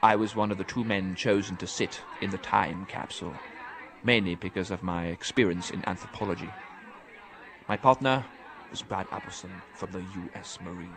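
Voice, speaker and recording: Male actor as confined academic: deep-toned, defensive, articulate, aggrieved. Audio drama, slight noise bed. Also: moderate pace, fairly bright tone, a faint crowd. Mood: earnest narrating. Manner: insistent, bitter, articulate. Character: agitated, defensive male professor confined to an asylum. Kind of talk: radio drama acting.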